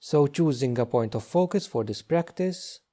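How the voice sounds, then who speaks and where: Guy doing a guided meditation, really mellow warm voice, slow n gentle, lots of pauses. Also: a little high, expressive. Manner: slow, hushed, gentle delivery with long pauses. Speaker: meditation teacher.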